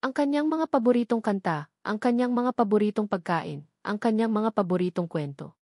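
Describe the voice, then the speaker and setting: A woman's voice: medium pitch, moderate pace, a little mellow, no room sound. Filipino woman narrating drama, dry close sound. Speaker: story narrator. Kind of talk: scripted dramatic narration of a romance drama story.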